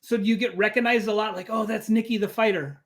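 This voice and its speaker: A man's voice: high voice, fairly even intonation, fast. Man hosting a casual interview.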